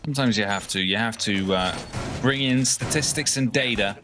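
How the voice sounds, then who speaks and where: A man's voice: lowish voice, normal speed, background noise. A man with a Dutch accent giving live analytical esports commentary, breaking down tactics with frequent restarts.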